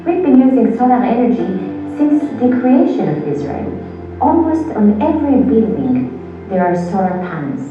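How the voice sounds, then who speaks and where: A woman reads documentary narration in a measured, deliberate way, leaning on key words. She is heard through room speakers from a distance, in a very echoey space. The sound is dull, with music playing clearly behind her. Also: a little high, sing-song. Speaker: video narrator. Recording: video played over room speakers, far and echoey. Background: music from the video.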